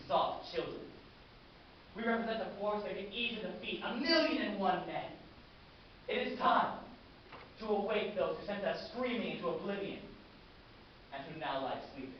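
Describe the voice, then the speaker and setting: A man's voice: a little high, natural voice. Guy doing a war-crazed army commander speech, grandiose n theatrical, super echoey, far from the mic. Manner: grandiose, savoring, deliberate delivery. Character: fanatical war-loving military commander rallying his undead battalion. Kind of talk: theatrical dramatic monologue, rising oratory. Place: large echoing room, festival stage performance. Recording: echoey hall from far off, muffled, hissy.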